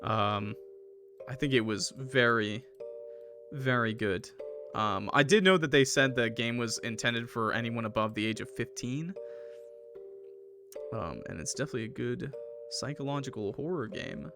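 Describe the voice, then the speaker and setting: Guy streamer talking to his chat, kinda halting n self-deprecating, music going behind him. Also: medium pitch, lively intonation. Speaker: streamer playing and reviewing a game. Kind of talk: casual livestream chat, reacting to a game and viewer comments.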